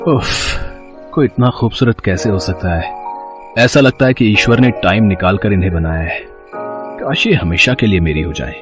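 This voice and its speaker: A man's voice: fairly low pitch, expressive, moderate pace, over music, natural voice. Man playing a fawning, infatuated college boy. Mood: dreamy. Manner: hesitant, pleading, fawning. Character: infatuated 21-year-old male college student, sly.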